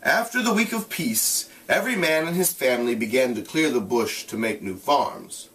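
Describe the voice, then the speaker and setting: A man's voice: medium pitch, expressive, moderate pace, fairly bright tone, distant mic, low-quality recording. A man who sounds like a teacher, reading a novel aloud and explaining it in plain, casual terms. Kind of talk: read-aloud narration with casual explanatory asides.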